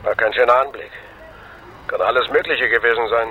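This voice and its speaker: A man's voice: medium pitch, steady tone, fairly quick, phone-quality sound, a little hiss or hum, lightly acted. An actor playing a sober, cautious ex-policeman and loyal friend in a radio drama, speaking in a dry, matter-of-fact way. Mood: grave. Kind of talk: naturalistic German radio drama dialogue.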